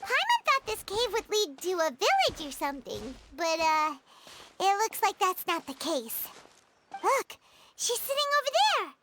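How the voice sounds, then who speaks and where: A woman voicing a tiny, excitable fairy companion in a video game, with a squeaky childlike voice and a chatty, exclamatory manner. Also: measured pace, a little hiss or hum, full character voice. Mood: curious, unsure. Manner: exclamatory, refers to herself in third person. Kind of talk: animated video game voice acting.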